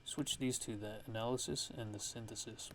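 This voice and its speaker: A man giving a casual, hushed ASMR-style software tutorial, explaining things in a halting, unscripted way. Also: fairly low pitch, fairly even intonation, slight background noise. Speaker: music production tutorial host.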